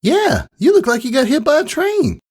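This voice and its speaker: A man's voice: very high voice, big pitch swings, moderate pace, voice pushed up, exaggerated voice. A man voicing a young, chummy schoolgirl pony with a chirpy, teasing manner in a storybook reading.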